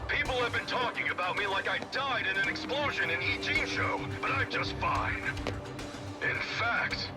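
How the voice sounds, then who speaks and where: Brash underdog candidate, raised high voice, earnest, cheerful declaiming, video game, loud music behind. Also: male voice, animated, moderate pace. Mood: cheeky confidence. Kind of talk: video game cutscene speech.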